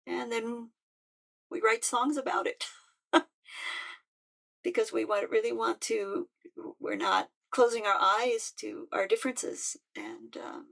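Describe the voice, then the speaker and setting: Gravelly older woman, halting. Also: a little high, lively intonation, a bit bright. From United States. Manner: halting and heartfelt, with frequent restarts. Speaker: musician and peace activist. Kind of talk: personal reflective talk.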